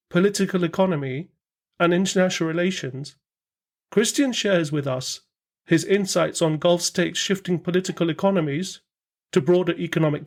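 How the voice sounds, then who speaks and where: A man hosting an interview podcast, reading a scripted intro and then asking friendly questions with hesitant fillers. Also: on the high side. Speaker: podcast host.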